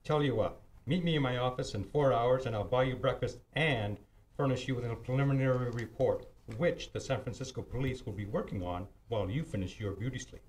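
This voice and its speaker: An actor playing a teasing, cocksure police homicide detective in an old-time radio mystery, with smug, clipped delivery. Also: male voice, mid-range voice, fairly quick, darkish tone, lightly acted, low-quality recording. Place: theatre stage, live performance. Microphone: stand mic on stage.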